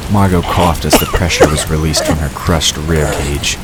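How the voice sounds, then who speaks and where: A man's voice: extremely low pitch, normal speed, very noisy. A man narrating a scripted fantasy story as the narrator of an audio drama.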